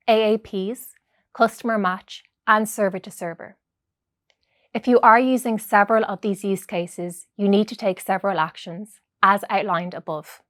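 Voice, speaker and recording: A woman's voice: medium pitch, no room sound. Woman presenter, dry studio-like sound. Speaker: training presenter. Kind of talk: scripted instructional presentation.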